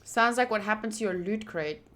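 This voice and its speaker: A woman streaming a visual novel, reading it aloud deliberately with pauses and chatty asides. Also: medium pitch, fairly bright tone. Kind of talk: playthrough narration with chatty asides.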